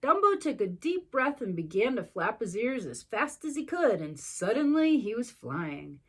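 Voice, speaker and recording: A woman's voice: on the high side, sing-song, normal speed, husky. A woman reading a storybook to young children expressively, adding sympathetic little asides.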